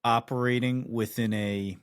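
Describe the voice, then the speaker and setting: A man's voice: medium pitch, steady tone, measured pace, clear, resonant tone, no room sound, clean studio sound. A man hosting a podcast, easygoing and reflective as he steers the talk. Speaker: podcast host. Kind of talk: casual discussion.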